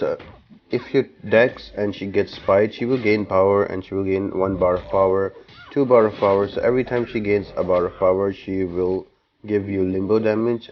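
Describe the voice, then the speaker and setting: Guy with a low voice doing a casual game guide, explaining stuff step by step. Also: darkish tone. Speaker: gaming guide host. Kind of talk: casual game walkthrough commentary.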